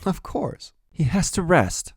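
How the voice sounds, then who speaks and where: A man voicing a gentle but firm mother in an audiobook. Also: fairly high pitch, big pitch swings, slow, slightly rough, no echo, studio quality, voice pushed up, heavily acted. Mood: caring, firm.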